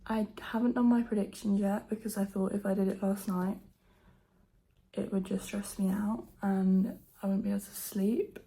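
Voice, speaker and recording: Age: teens. Quality: croaky, gravelly, mellow. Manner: soft and nervous. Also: female voice, mid-range voice, low-quality recording. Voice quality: croaky, just woken. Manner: soft, half-whispered, nervous chatter. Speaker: vlogger. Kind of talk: casual to-camera vlog. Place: at home indoors.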